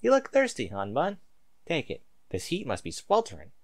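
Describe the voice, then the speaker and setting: A man's voice: on the high side, huge pitch swings, normal speed, voice pushed up, full character voice. A man voicing a sweet, affectionate female pony, a young wife and mother in a story. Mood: tender concern. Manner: affectionate, folksy endearments.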